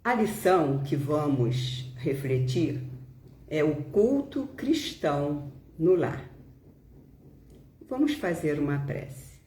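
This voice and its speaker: A woman with a Brazilian Portuguese accent leading a devotional reflection with prayer and reading, solemn and deliberate, pausing between short phrases. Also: low-pitched, lively intonation, dark tone, slight background noise. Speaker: volunteer leader of a spiritist prayer live stream. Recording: roomy, echoing home live stream.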